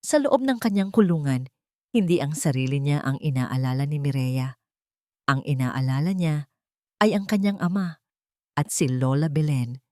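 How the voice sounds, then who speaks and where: A woman's voice: low-pitched, normal speed, long pauses, dark tone, no room sound, clean studio sound. A woman reading a scripted dramatic audio story as its first-person narrator.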